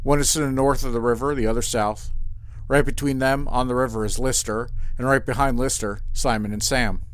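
Male ship captain man actor, medium pitch, close dry sound: restless, wry, matter-of-fact, audio drama. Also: steady tone, brisk, dry recording, lightly acted. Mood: restless, brooding. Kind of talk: scripted sci-fi audio drama.

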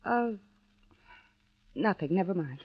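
A woman's voice: lowish voice, expressive, takes it slowly, slightly rough, heavily acted. An actress playing a frightened but determined young woman in a vintage radio suspense drama. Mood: guarded. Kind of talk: 1940s-50s radio drama acting. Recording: dull old radio broadcast recording.

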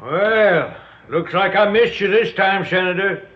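A man's voice: high-pitched, unhurried, heavy background noise. An actor playing a menacing, folksy rural American man in a radio drama, taunting with a mocking drawl. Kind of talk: 1960s radio drama acting. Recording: dull old radio drama recording.

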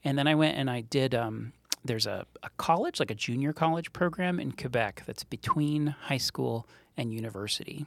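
Gravelly-voiced man telling stories. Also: medium pitch, normal speed, no echo. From Montreal, Canada. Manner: joking, rambling storyteller. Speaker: startup co-founder, guest. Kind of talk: casual interview chat.

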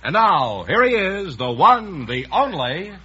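A man's voice: high voice, sing-song, measured pace, very noisy. A man announcing a 1950s radio show, reading scripted introductions and sponsor plugs with emphatic showmanship. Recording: dull 1950s radio recording, music behind.

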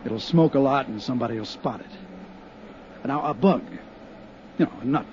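An actor as a matter-of-fact arson cop. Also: male voice, medium pitch, moderate pace, close to an everyday voice. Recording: muffled 1950s radio broadcast with faint hiss.

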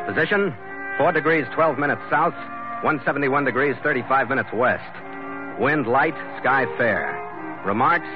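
An actor playing a steady, dry-humored sea captain who also narrates the story in a calm, clipped manner, as in 1950s radio drama. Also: male voice, mid-range voice, lightly acted. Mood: matter-of-fact. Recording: dull old radio broadcast with music.

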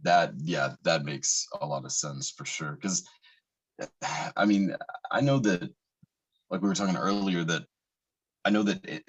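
A man with a very low, husky voice hosting a casual interview. He circles around his questions and pads them with "like" and "you know" as he goes. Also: unhurried, long pauses.

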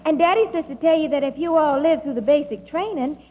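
A woman's voice: sits high, fast, natural voice. A woman playing a sweetly cheerful, guileless Southern colonel's daughter with an American Southern accent in 1940s radio comedy. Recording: old hall radio recording, muffled, hissy.

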